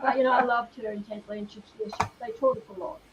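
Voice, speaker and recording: A woman's voice: fairly high pitch, steady tone, racing, a crowd in the background. An older woman from Australia with an Australian accent, chatting casually as a podcast guest and telling personal stories. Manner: chatty, reminiscing. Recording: muffled, distant echoey room, faint noise.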